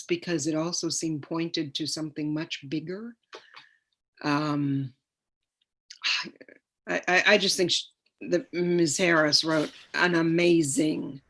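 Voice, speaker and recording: A woman with a lowish voice talks very slowly in an informal panel chat. She is effusive and emphatic, pausing to search for the right words as she reflects. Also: a bit bright. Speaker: stage actor, panel guest.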